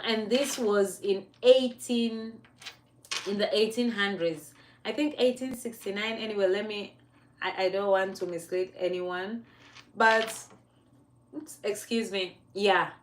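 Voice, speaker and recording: A Kenyan woman hosting a relaxed livestream, speaking to her viewers in a chatty, encouraging way. She laughs often and stops to fix her wording as she goes. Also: medium pitch, unhurried, slight background noise. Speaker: livestream host. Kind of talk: casual motivational livestream.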